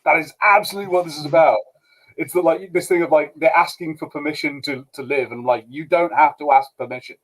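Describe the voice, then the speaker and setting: Slightly high-voiced man, casually opinionated. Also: fast talker, fairly bright tone, rough recording. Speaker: podcast panelist discussing a show. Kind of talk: casual opinionated group discussion.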